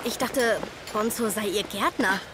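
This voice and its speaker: An actress playing a polite young holiday guest in a radio play, breezy and slightly flustered. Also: female voice, mid-range voice, unhurried, noisy background, close to an everyday voice. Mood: delighted, friendly. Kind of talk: German radio play acting. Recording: radio play with outdoor ambience.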